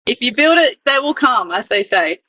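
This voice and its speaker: A woman's voice: a little high, lively intonation, moderate pace, sharp tone, breathy edge, low-quality recording. A woman co-hosting a sports podcast, asking long, elaborate questions in a talkative way. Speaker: podcast co-host. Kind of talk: interview questions.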